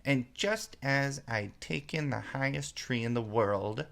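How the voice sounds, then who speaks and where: A man puts on a fretful storybook mother pigeon. She complains with indignant drama and open contempt, stretching out her words in a gravelly, creaking voice. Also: medium pitch, slow pace, a bit bright, voice pushed up. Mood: shrieking, fretful. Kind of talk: storybook read-aloud character voice.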